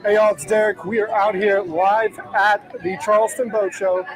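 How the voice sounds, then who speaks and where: Upbeat high-voiced host at a live event. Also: male voice, little pitch movement, moderate pace, a crowd in the background, rough recording. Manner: upbeat, conversational. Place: outdoor boat show.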